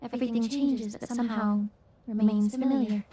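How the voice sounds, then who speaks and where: An actress playing a poised, courteous, reflective young woman physician in a video game, speaking in a measured, formal way. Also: a little high, steady tone, a loud crowd, natural voice. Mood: nostalgic. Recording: game audio with music behind.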